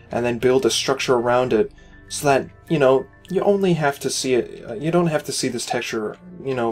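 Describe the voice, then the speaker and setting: Tired, hoarse-edged man reviewing a game build. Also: medium pitch. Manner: rambling, with frequent "you know" and "uh" fillers; critical but encouraging. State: tired and losing his voice. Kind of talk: casual gameplay commentary.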